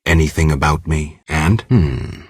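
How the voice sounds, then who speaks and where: An extremely deep, very raspy voice for a gruff monster slayer in a video game. He speaks in clipped, deadpan phrases with dry sarcasm. The sound is close and dry, with no room to it. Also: measured pace, dry recording, exaggerated voice. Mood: dry, reserved. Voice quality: very husky, gravelly rasp. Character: gruff, laconic male monster hunter for hire, dryly sardonic. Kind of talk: video game dialogue acting.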